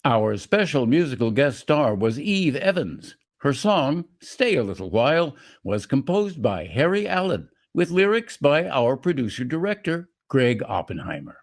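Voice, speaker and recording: A man's voice: mid-range voice, sing-song, unhurried, hardly any pauses. A man announcing in a formal, scripted radio sign-off style.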